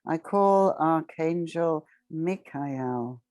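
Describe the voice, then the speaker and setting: A woman leading a guided meditation in a solemn, ceremonial way, speaking in short, measured phrases with long pauses. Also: low-pitched, darkish tone, no echo.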